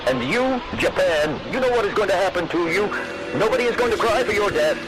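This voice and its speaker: A man's voice: sits high, expressive, brisk, over music, rough recording. A man voicing a menacing, hateful spokesman villain in an analog horror video, taunting and threatening. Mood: furious, hateful. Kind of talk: scripted analog horror monologue.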